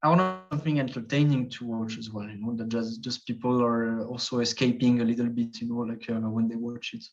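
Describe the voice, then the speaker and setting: A man's voice: mid-range voice, steady tone, fairly quick, few pauses. Hesitant Swiss man, dull audio. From Switzerland. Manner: thoughtful, hesitant, many fillers and restarts. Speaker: guest, travel video creator. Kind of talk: casual interview conversation.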